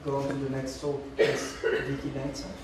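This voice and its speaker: A man's voice: mid-range voice, monotone, normal speed. A man chairing a conference session, brief and matter-of-fact. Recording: distant, very echoey, noisy.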